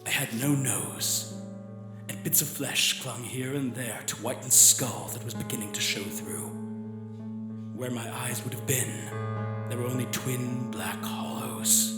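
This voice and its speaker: Slow, haunted undead corpse, echoey stage. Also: male voice, medium pitch. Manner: slow, halting, brooding delivery with sudden swells. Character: rotting undead man risen from grave, grim, haunted. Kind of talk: dramatic first-person monologue in old-time radio horror. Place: theatre stage before a live audience. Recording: echoey live stage recording with music. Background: plucked guitar score.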